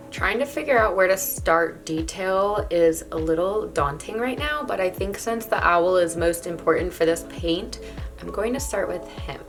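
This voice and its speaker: A woman with a raspy, hoarse voice, chatting casually as she narrates her body painting. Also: on the low side, animated, a little slow, music in the background. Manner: chatty, rambling, laughs between thoughts. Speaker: body paint artist and vlogger.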